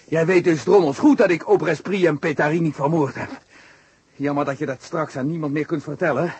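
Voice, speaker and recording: An actor playing a menacing male villain in a radio play, husky and sneering as he threatens. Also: on the high side, fairly even intonation, fairly quick, darkish tone, no room sound.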